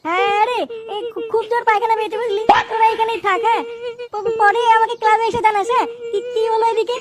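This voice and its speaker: A woman's voice: very high voice, fairly even intonation, fast talker, a loud crowd. A woman voicing a cheeky, cowardly young lad from a gang in a comedy cartoon, crude and full of excuses. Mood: nervous.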